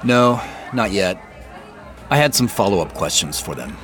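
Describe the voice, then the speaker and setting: A man's voice: lowish voice, expressive, normal speed, a bit bright, a faint crowd. An actor playing an earnest, probing male boss in naturalistic audio drama, speaking in an insistent, questioning, conversational way. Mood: guarded.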